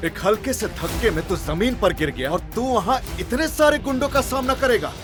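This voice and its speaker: A man's voice: very high-pitched, animated, normal speed, over music. A man playing a scornful, bullying policeman in an audio drama. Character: scornful bullying male policeman, mocks weaklings.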